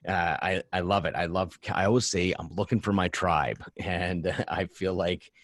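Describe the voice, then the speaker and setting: Man, deep voice, podcast host, casual and enthusiastic, affirming, dry sound with no room. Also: fairly even intonation, moderate pace, no echo. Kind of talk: casual interview chat.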